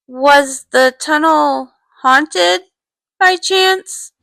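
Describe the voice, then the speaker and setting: A woman's voice: sits high, drawn-out words, vocal fry, bright, forceful tone, dry recording, exaggerated voice. A woman voicing a sociable, wry young female cartoon pony princess with a breezy, teasing way of talking. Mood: skeptical. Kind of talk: animated cartoon voice acting.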